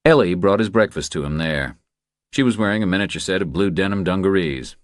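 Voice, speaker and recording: A man's voice: deep voice, lively intonation, fairly quick, dry recording, studio quality. A man reading a thriller audiobook as scripted narration.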